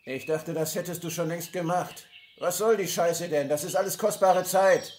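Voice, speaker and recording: A man's voice: high-pitched, moderate pace, darkish tone, close to an everyday voice. An actor playing an impatient, coarse male kidnapper in a radio play. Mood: angry. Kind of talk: hobby German radio play acting. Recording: faint noise with birdsong.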